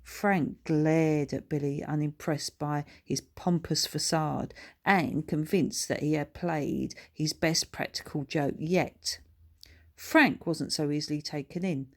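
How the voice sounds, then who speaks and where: A woman with a slightly low voice reads a children's story. She narrates expressively from a script, in the role of the book's author. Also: fairly even intonation, slow pace, a little mellow. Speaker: author reading own children's book.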